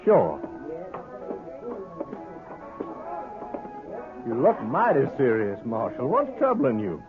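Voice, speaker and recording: An actor playing a cocky, callous villain in a 1950s radio western, with a folksy, mocking, unruffled delivery on an old recording. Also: male voice, mid-range voice, wildly swooping pitch, moderate pace, music in the background. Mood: feigned innocence. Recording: tinny 1950s radio with crowd behind.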